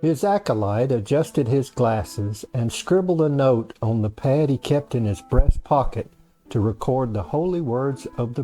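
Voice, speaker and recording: Male narrator, mid-pitched and animated, for a scripted humorous fantasy audiobook read by its author. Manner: storytelling. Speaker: author reading own story.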